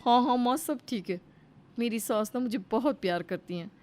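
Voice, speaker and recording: A woman's voice: fairly high pitch, normal speed, noisy background, lightly acted. A woman voicing a crafty, boastful elder daughter-in-law chatting away in a moral story.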